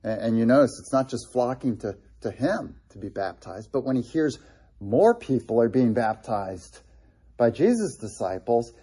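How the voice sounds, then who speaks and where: Middle-aged male preacher, husky and warm-toned, chatty sermon delivery with asides to the congregation. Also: on the low side. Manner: conversational, with frequent restarts and asides to the congregation. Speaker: pastor.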